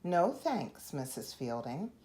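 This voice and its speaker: A woman's voice: sits low, big pitch swings, slow pace, dark tone, full character voice. A woman voicing an earnest, courteous and determined nine-year-old boy in a picture-book story. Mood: polite, determined.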